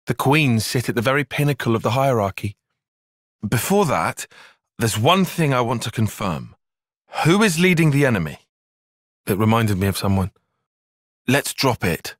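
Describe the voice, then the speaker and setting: A young tactician for a video game, dryly critical and analytical. His voice has a slightly husky edge and a lively, shifting pitch. The diction is precise and formal, and the sound is dry and close. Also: normal speed, pauses often, no echo. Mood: serious, thoughtful. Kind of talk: video game cutscene voice acting.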